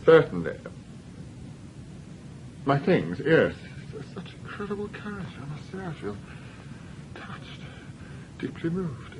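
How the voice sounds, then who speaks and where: An actor playing a self-absorbed, infatuated middle-aged gentleman writer in a period drama, with earnest, formal diction. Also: a little high, moderate pace, noisy background, lightly acted. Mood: distracted, musing. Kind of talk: theatrical period drama acting.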